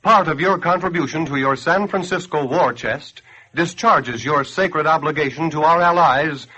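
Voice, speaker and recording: A man's voice: on the high side, normal speed, bright, forceful tone. An American man announcing in 1940s radio style, delivering a scripted appeal in an earnest, declamatory, persuasive way. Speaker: radio announcer and campaign spokesman. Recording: dull grainy 1940s radio broadcast.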